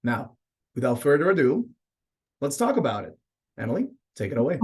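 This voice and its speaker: A young man, an admission counselor, opening a webinar over a video call with a measured, formal, scripted delivery from a small office. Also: mid-range voice, big pitch swings, a little mellow. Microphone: laptop mic on video call.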